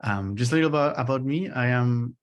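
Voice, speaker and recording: A man from Chile with a Chilean accent and native Spanish, giving an informal academic lecture in a hesitant, halting way, often repeating words. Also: medium pitch, highs cut off. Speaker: postdoctoral researcher giving a lecture.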